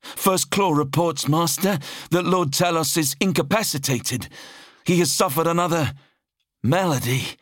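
A man's voice: fairly high pitch, a little slow, a bit bright, no room sound, studio quality, pitched above the performer's own voice. A man voicing a nervous, dutiful military officer for an audiobook, reporting formally with strained control. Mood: fearful, controlled. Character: nervous, dutiful human male deck officer serving a monstrous master.

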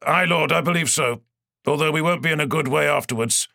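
A man's voice: on the high side, brisk, vocal fry, pushed and bright, no echo, clean studio sound, voice pushed up. A man voicing a blunt, loyal male starship captain who reports grimly to his lord. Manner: grim, plain-spoken.

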